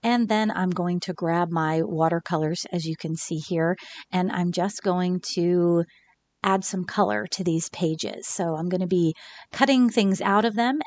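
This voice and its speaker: Chatty woman, slightly scratchy voice. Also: on the low side. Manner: chatty and relaxed, with fillers and small laughs. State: slightly scratchy from recent illness. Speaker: craft channel host. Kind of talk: casual crafting voice-over.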